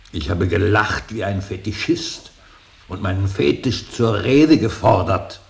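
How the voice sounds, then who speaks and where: An older male actor playing a grieving, stern and brooding old painter in a radio drama, speaking in a halting, weighty, solemn stage manner. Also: full character voice. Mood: bitter, anguished. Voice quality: very deep, gravelly. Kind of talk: slow, solemn German stage-style radio drama acting. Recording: hissy radio play recording.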